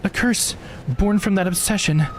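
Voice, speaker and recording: A man's voice: high-pitched, moderate pace, slight background noise, pitched above the performer's own voice, natural voice. A man voicing an earnest, stunned immortal woman of great age in a visual novel game, full of wonder. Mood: stunned sorrow.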